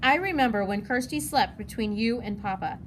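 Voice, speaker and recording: A woman's voice: on the high side, animated, normal speed, a little hiss or hum, lightly acted, rough recording. A woman voicing a thoughtful, earnest schoolgirl, the older sister in a storybook. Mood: fond, chatty.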